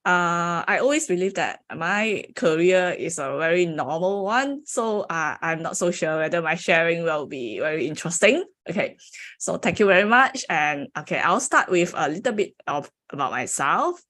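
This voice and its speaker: A woman's voice: lowish voice, lively intonation, moderate pace, a bit bright. Malaysian woman, Mandarin first language, engineering lecturer giving a slide talk, hesitant. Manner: hesitant, frequent "okay" and "uh" fillers. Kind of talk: presenting her career story with slides.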